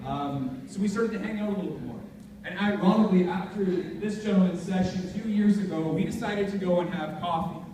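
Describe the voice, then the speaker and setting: A young man speaks in a high voice, delivering an emphatic, informal motivational speech. The sound is heavily echoey and captured from far off, as in a large hall. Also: fairly quick, noisy background. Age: young adult. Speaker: motivational speaker at a student leadership conference. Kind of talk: motivational talk to a live audience. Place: large indoor conference hall.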